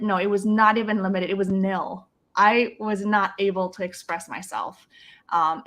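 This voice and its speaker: A woman's voice: mid-range voice, a bit bright. A middle-aged woman from Indonesia, a life coach, talking reflectively in an interview with many restarts and small laughs. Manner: earnest and reflective, frequent restarts, quotes others' voices, laughs often. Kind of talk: conversational interview storytelling.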